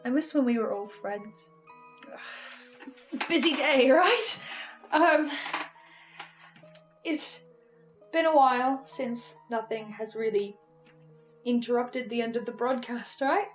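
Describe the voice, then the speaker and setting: A woman's voice: on the high side, slow pace, long pauses, rough recording. A woman playing an anxious, awkward archivist in an improvised horror drama, hesitant and often stammering or cutting herself off. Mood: awkward, weary. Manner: hesitant, stammering, self-interrupting. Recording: music behind the voice. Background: music.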